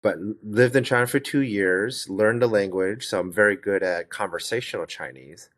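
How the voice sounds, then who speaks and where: A man's voice: fairly low pitch, moderate pace, a bit bright. A man in his thirties from the United States, a guest telling his life story in a casual interview, rambling with restarts and fillers.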